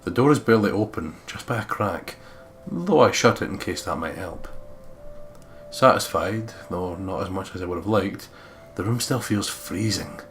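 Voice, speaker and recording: Low-voiced man reading a game story aloud. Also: moderate pace, fairly bright tone, faint music behind. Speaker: let's play host. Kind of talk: reading visual novel narration aloud, with casual commentary.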